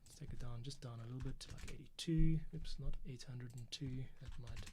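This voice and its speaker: A man's voice: mid-range voice, fairly quick, warm tone, slight background noise. A man casually thinking aloud as he works on composing and editing audio, muttering to himself. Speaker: podcast composer and editor. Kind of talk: casual work-along commentary. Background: music playback, computer keyboard and typing.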